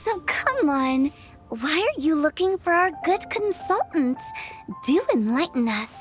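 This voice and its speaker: A woman's voice: high-pitched, very animated, measured pace. A woman voicing a mischievous young funeral director who teases and jokes in a theatrical way. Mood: teasing. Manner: teasing, theatrical, fond of wordplay. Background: background music.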